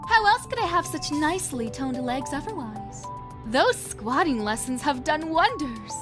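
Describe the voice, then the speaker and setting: A woman's voice: high voice, sing-song, measured pace, over music. A woman playing a vain, carefree young woman in an audio drama, with a breezy, teasing, theatrical delivery. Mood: breezy, smug.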